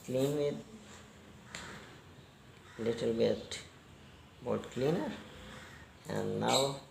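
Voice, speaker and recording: Guy doing a slow repair tutorial, halting non-native english, bit creaky, echoey room w noise. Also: mid-range voice, darkish tone. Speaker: repair technician giving a tutorial. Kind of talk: hands-on repair demonstration commentary.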